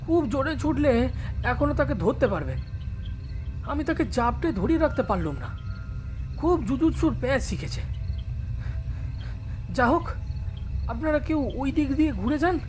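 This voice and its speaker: A man's voice: extremely high pitch, lively intonation, normal speed, long pauses, a little mellow. A man playing a frightened, agitated young man in an audio ghost drama, urgent and insistent. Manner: urgent, insistent, breathlessly pleading. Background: prominent background music.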